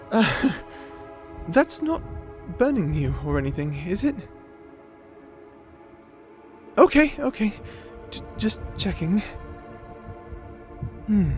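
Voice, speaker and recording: Young male wizard, played by a man, audio drama: high, very animated, nerdy, self-doubting stammerer, music underneath. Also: full character voice. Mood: amazed, curious. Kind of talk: scripted audio roleplay acting.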